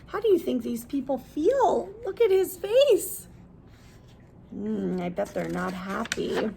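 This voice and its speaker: A woman with a high voice that often drops into creak reads a story aloud to children. She is animated and teacherly, explaining words in simple terms and putting questions to her listeners. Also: slow. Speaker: teacher reading a picture book aloud to children.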